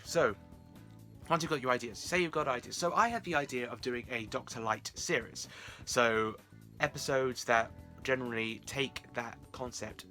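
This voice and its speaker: A man's voice: medium pitch, gravelly, pushed and bright. A British man chatting casually to camera and giving beginner advice in a rambling, wry, self-deprecating way. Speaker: amateur audio drama creator and vlogger.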